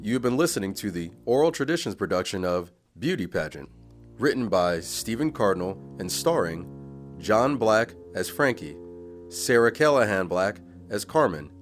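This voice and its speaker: A man's voice: fairly low pitch, big pitch swings, moderate pace. A man reading scripted end credits as the announcer of an audio drama. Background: plucked guitar music.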